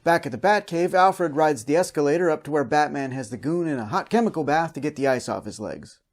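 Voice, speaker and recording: Man, somewhat raised pitch, recap show host, scripted comedic narration. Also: animated, brisk.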